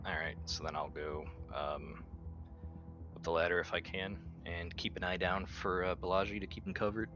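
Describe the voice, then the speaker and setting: A man's voice: deep voice, flat delivery, normal speed, highs cut off, over music. A man taking part as a player in a casual tabletop game, wry, playful and blunt.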